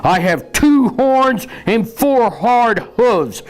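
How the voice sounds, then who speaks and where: A man voicing a big, bold billy goat in a storybook, loud and defiant. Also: very high voice, sing-song, drawn-out words, voice pushed up, full character voice. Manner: loud, challenging.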